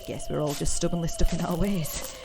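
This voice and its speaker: A woman's voice: low voice, fairly even intonation, fairly quick, creaky, noisy background. An actress playing a frank, sociable, teasing British small-town woman in middle age, in an audio drama. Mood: wistful. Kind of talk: naturalistic audio drama acting.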